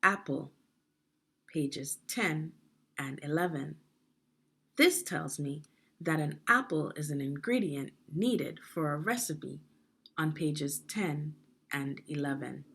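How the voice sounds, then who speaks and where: Woman, husky voice, very lively pitch, deliberate teaching manner, scripted read-along for young kids. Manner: deliberate, instructional, poses questions to young listeners. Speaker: read-aloud narrator of a children's educational book.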